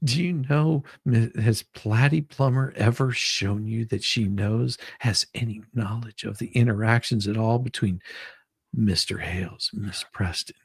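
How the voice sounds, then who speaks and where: A man playing a questioning attorney in an amateur dramatic reading of a deposition, halting and repeating his words. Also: on the low side, fairly even intonation, gravelly, husky, no echo, exaggerated voice. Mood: questioning, patient.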